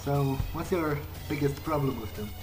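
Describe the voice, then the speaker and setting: A man's voice: mid-range voice, moderate pace. A man improvising in-character replies as he plays a game, conversational, questioning and often hesitant. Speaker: gamer role-playing his character. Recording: game music behind the voice. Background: game music.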